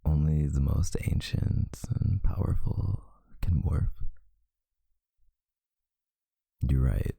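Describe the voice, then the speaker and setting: A man playing a tender, protective vampire lover in an intimate ASMR roleplay, speaking in a husky, hushed voice with deliberate pauses. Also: dry recording, heavily acted. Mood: gentle, explaining. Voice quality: very deep, gravelly, husky, breathy, often near a whisper.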